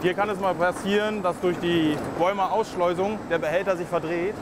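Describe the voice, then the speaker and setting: Male tech explaining on the job, brisk, slightly higher voice, loud machine noise. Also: steady tone. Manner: quick, explanatory, at work. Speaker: technician. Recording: noisy warehouse ambience.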